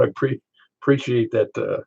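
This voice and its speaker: An older man with a Southern American accent running a ham radio net, chatty and quick to laugh as he tells stories. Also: mid-range voice, vocal fry, a little mellow, breathy edge. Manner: chatty, storytelling, laughs easily. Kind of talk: casual radio chat. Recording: muffled ham radio audio.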